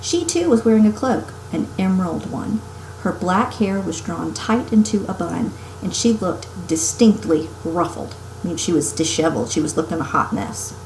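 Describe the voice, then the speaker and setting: A woman's voice: lowish voice, animated, normal speed, gravelly, darkish tone. A woman teacher reading a story aloud to children expressively and emphatically, with casual asides. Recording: roomy echo, faint background noise.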